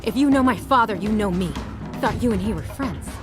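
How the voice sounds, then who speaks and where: An actress playing a tough, sarcastic young soldier and squad leader in a video game cutscene, blunt and combative. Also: female voice, mid-range voice, expressive, brisk, close to an everyday voice. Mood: defiant. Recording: music under the voice.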